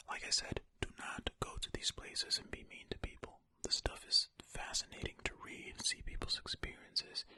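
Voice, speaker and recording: An ASMR host whispers throughout in a breathy, voiceless tone with a very bright, sibilant edge. The delivery is casual and musing, moving between reading comments aloud and chatty asides to the listener. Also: very high-pitched.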